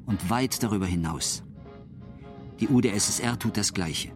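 A man's voice: lowish voice, normal speed, music in the background. A man reading scripted documentary narration for the radio.

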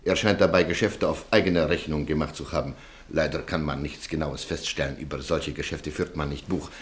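An actor playing a calm, soft-spoken male extortioner who coaxes and pressures in a measured way, in a radio play. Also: rumbling low. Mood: coaxing. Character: smooth, calm male extortioner named Walter. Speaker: radio drama character.

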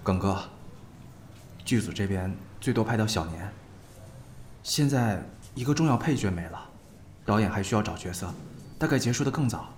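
Man acting an earnest, low-voiced young actor. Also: lively intonation, normal speed, long pauses, a little hiss or hum, close to an everyday voice. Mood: subdued, firm. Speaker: voice actor in an audio drama. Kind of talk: acted dramatic dialogue.

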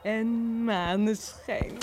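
An actress playing a sceptical but good-humoured, chatty housekeeper who exclaims and mutters to herself in a children's audio drama. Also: female voice, a little high, steady tone, very slow, noisy background. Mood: playful, dreamy.

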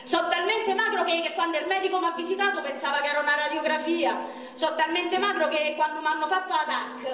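A woman's voice: very high voice, fairly even intonation, brisk, fairly bright tone. A woman on stage playing a very skinny, self-mocking young man from Rome, telling jokes in a declamatory, repetitive way with a Roman Italian accent. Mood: escalating, boastful self-mockery. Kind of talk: theatrical stage monologue. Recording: echoey stage recording from far off, noisy.

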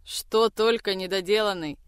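A woman's voice: medium pitch, moderate pace, clear, resonant tone, no room sound, natural voice. A woman voicing a cruel older woman who tortures a captive, with vicious threats and shrieking anger. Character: cruel brutal older woman gang mother. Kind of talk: audiobook reading.

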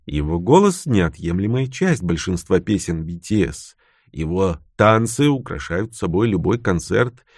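A man reading a scripted biography as an audiobook narrator, with a measured, articulate storytelling delivery. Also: lowish voice, a little mellow, no echo, studio quality.

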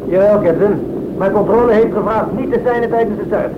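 A man playing a dutiful flight engineer who answers his captain crisply and deferentially in a radio play. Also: high voice, a little fast, natural voice. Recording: tinny, noisy old radio recording.

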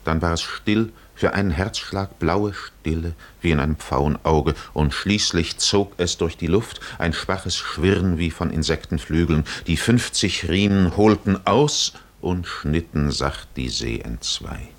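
A man as the solemn, poetic narrator of a 1950s German radio drama, measured and dramatic. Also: deep voice. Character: solemn poetic storyteller of a sea voyage.

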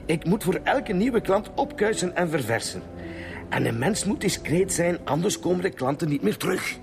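A man's voice: high-pitched, very animated, normal speed, vocal fry, music in the background, full character voice. An actor playing a fussy, defensive small businessman under police questioning, with a Flemish accent, in a radio drama. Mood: indignant, defensive. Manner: indignant, self-justifying, hesitant under pressure. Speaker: murder suspect.

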